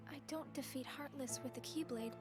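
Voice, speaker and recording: A woman playing a gentle, anxious teenage girl in a video game, speaking hesitantly and earnestly. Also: high voice, brisk, close to an everyday voice. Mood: troubled. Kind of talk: English video game dub acting. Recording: loud game music behind.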